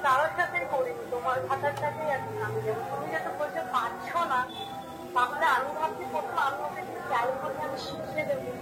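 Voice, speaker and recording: A woman's voice: high voice, quick pace, background noise. A woman in a casual phone argument, answering back in a brash, curt and combative way. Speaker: prank call target. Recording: echoey phone call under loud music.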